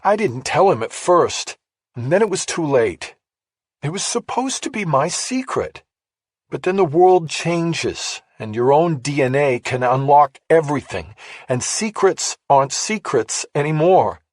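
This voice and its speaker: Casting: broken middle-aged mother played by a male narrator, lifted pitch, husky, halting, bitterly resigned, for a crime audiobook. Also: animated, a little mellow, no echo, studio quality. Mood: bitter, anguished. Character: grieving middle-aged mother, broken, guilt-ridden, confessing a secret.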